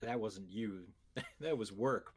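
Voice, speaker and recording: A man's voice: on the low side, slow pace, slightly rough. A man co-hosting a podcast, chatting casually as he joins in a sponsor read. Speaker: podcast co-host. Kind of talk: casual conversational ad banter.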